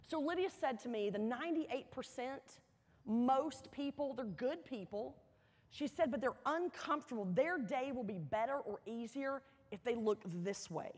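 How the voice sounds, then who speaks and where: A woman gives a motivational storytelling speech to an audience, earnest and emphatic, with dramatic pauses and repeated phrases. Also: fairly high pitch, lively intonation. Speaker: motivational speaker at a university welcome event. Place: large indoor hall, addressing an audience. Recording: echoey large hall with long reverb.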